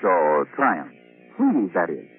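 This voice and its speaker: Very animated male radio announcer, tinny sound. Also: low voice, normal speed, soft background music. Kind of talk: 1950s radio sponsor announcing. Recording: tinny old radio broadcast, husky-sounding.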